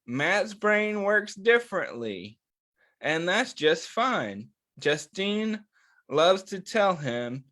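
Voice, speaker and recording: Slow-paced man hosting children's storytime. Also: on the high side, fairly bright tone. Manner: simple, reassuring, checks in with listeners, drawn-out phrasing. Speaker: children's storytime host reading a picture book. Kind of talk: storytime read-aloud and chat to young children.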